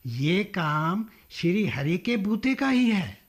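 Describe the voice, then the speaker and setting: A scheming, fawning middleman in a radio play. His voice sits very high for a man and he takes his time, coaxing with deferential persuasion. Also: sing-song, slow pace, heavily acted. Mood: fawning, persuasive. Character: wily, obsequious middleman coaxing landlord and buyer. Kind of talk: Hindi radio drama acting.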